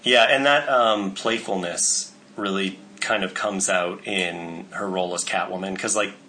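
A man's voice: low-pitched, steady tone, a little slow, bright, forceful tone, a little hiss or hum, low-quality recording. A man co-hosting a podcast in casual chat, informative and enthusiastic, with many fillers. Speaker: podcast co-host presenting cast info.

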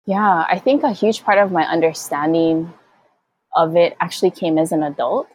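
A woman from Hawaii speaking reflectively as an interview guest, thoughtful and halting as she searches for words. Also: fairly low pitch, darkish tone, a little hiss or hum, rough recording. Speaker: guest, Hawaiian educator and activist.

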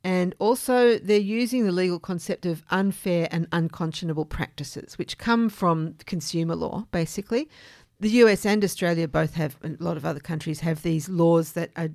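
A woman with a fairly low voice and noticeable vocal fry talks as a podcast host. She explains in a measured, informal way, and the sound is dry with little room to it. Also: a little mellow, no echo.

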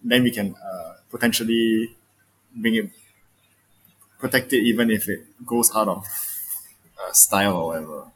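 Husky young man, earnest. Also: on the low side, normal speed, pauses often, fairly bright tone, rough recording. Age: young adult. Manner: earnest, repeats words. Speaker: guest. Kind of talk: casual panel chat.

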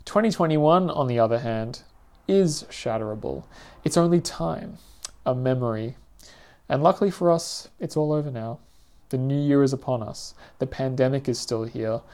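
A man narrates a scripted personal essay as its author. His voice sits at a middling pitch, and his reading is measured and thoughtful, with a literary turn to the delivery. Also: darkish tone. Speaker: writer reading own essay aloud.

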